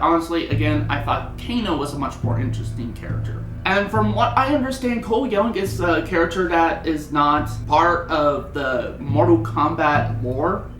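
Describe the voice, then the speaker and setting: Film reviewer, casual opinionated commentary, rambling conversational delivery, roomy echo, background guitar music. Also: medium pitch.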